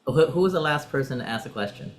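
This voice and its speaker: A man's voice: fairly high pitch, animated, fast talker, slight background noise. A man coaching pageant contestants through a practice interview, directive and encouraging, explaining step by step. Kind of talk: coaching session.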